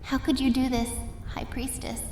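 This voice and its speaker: A woman's voice: high voice, flat delivery, moderate pace, warm tone, natural voice. A woman playing a determined young witch in an audio drama, earnest and grave, in an echoing chamber with music. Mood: accusing.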